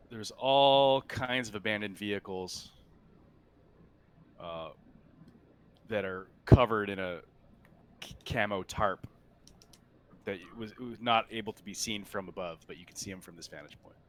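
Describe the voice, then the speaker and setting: A man runs a tabletop roleplaying game in a lowish voice with a bright, crisp edge. He improvises his descriptions as he goes, in a halting way. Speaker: game master.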